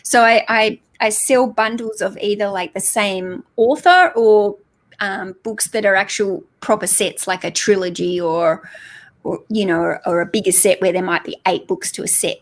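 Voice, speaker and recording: A woman's voice: mid-range voice, moderate pace. A woman with an Australian accent, a guest chatting casually on a video call, explaining things step by step with lots of fillers. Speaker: guest on a reselling live chat. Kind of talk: casual chat.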